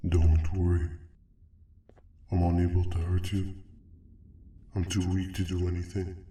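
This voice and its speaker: A man playing a stern, bitter monster in a video game, with stiff, pedantic, deadpan delivery. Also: sits low, a little hiss or hum. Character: stern, bitter, pompous monster, cold and formal. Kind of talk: video game character dialogue. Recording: roomy, distant, faint music behind.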